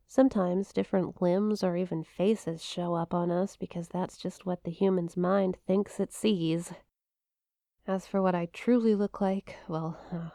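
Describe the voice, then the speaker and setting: A woman's voice: fairly low pitch, mellow, dry recording, studio quality. A woman playing a shy, playful young eldritch monster classmate in an intimate ASMR roleplay, teasing and hesitant, with a flustered stammer. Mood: uneasy, hushed. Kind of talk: ASMR roleplay, intimate one-sided conversation.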